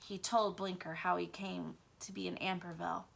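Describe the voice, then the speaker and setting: A woman's voice: fairly low pitch, level tone, moderate pace, creaky, a bit bright. A woman teacher reading a children's book aloud and explaining it to young pupils.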